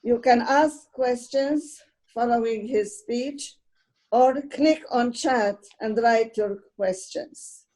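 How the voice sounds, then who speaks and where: A woman hosting an online talk, giving a deliberate, ceremonious welcome as programme coordinator. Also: on the high side, mellow, poor audio. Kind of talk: formal welcome speech on a video call. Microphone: video call.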